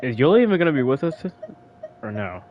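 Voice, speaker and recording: A man with a mid-pitched voice talks casually over his gameplay. He sounds offhand, often muttering to himself, with faint noise behind him. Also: sing-song, quick pace, lo-fi sound. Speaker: gamer playing through a story quest.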